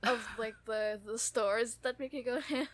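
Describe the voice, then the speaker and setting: A young adult woman in casual fan chat as a podcast co-host, animated and enthusiastic, often repeating her phrases. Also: a little high, unhurried.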